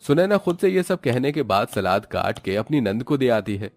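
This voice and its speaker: A man's voice: medium pitch, very animated, normal speed, darkish tone, no echo. A male narrator with a mid-range voice tells a scripted moral tale. Faint music plays behind him.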